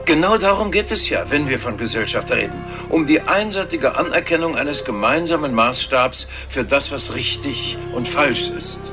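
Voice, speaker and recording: A man's voice: medium pitch, animated, moderate pace, few pauses, music in the background, lightly acted. Man acting an earnest, formal philosophy professor. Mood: earnest, objecting. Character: earnest, learned male Cambridge philosophy professor. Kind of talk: radio drama acting.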